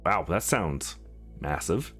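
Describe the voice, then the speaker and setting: A man's voice: low-pitched, animated, slow pace, a bit bright, voice pushed down, full character voice. A man reading the part of an easygoing young male game hero, casual and full of wonder. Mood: impressed. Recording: music behind the voice.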